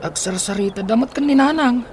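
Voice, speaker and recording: A woman's voice: on the high side, fairly quick, darkish tone, slight background noise, natural voice. A woman playing a young in-law relaying household gossip in an Ilocano radio drama. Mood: confiding.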